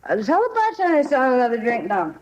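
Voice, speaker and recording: A woman's voice: high-pitched, wildly swooping pitch, fast, a little mellow, lo-fi sound, slight background noise. Swooping, brassy barfly woman. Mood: offhand. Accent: American. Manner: slangy, offhand, streetwise. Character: brassy, curt female barfly informant. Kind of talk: 1950s radio crime drama acting.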